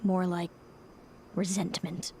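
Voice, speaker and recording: A woman voicing a bitter, weary young seer in a video game, speaking in a measured, cold, world-weary way. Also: fairly low pitch, flat delivery, warm tone, a little hiss or hum. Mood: cold resentment.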